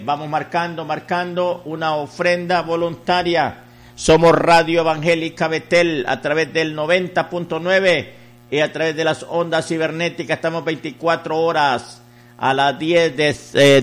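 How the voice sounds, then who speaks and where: Higher-voiced man making a repetitive radio appeal. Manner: repetitive, chanting urging, reciting phone numbers. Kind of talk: live radio fundraising appeal.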